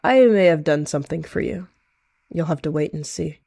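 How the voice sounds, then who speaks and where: A woman's voice: low voice, expressive, moderate pace, gravelly, warm tone, husky, no echo, full character voice. A woman voicing an evil rogue cat, intense, possessive and scornful, in a roleplay drama over music. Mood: secretive.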